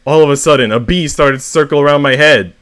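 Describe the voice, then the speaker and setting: Man reading stories aloud, expressive. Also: fairly high pitch, fast talker, clean tone. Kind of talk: expressive story read-aloud.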